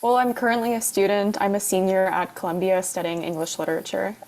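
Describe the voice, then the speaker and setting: A woman's voice: medium pitch, fairly even intonation, fairly quick, a little mellow. A twenty-year-old woman speaking casually as a dating show contestant. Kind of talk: casual introduction and question.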